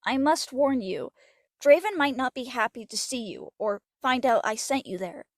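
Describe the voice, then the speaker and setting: Woman acting as a high, lively pony princess. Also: normal speed, no echo, clean studio sound. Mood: gently cautioning. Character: kindly pony princess mentor and teenage alicorn princess. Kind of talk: audio drama acting.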